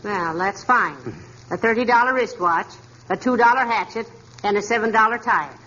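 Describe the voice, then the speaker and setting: An actress playing a dry, teasing, patient middle-aged housewife in a 1940s radio comedy, on a hissy old recording. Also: female voice, on the high side, normal speed, muffled recording. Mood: wry, teasing. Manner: dry, wry, gently scolding.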